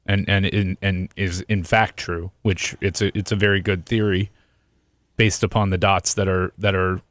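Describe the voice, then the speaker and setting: Measured explanatory man, dry sound. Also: low-pitched, flat delivery, fairly bright tone, dry recording. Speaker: talk show co-host. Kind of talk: casual discussion.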